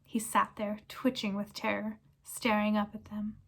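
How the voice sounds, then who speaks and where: A woman's voice: medium pitch, completely flat delivery, unhurried, creaky, breathy edge. A woman reading a chapter book aloud in dramatic storybook narration. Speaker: reader.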